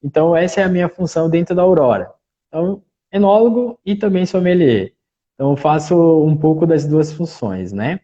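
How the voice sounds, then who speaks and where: Man w/ bahian-gaucho mixed accent, mellow kinda dark voice, chatty, dull lo-fi stream sound. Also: a little high, brisk. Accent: mixed Bahian and Gaúcho Brazilian Portuguese. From Salvador, Bahia, Brazil. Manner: relaxed, talkative, frequent "né" tags. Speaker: winemaker and sommelier guest. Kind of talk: casual live interview.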